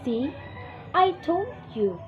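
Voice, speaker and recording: A woman's voice: high voice, sing-song, takes it slowly, exaggerated voice. A woman playing a gloating nervous system that butts in during an amateur educational reader's theater play. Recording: dull, slightly noisy home recording.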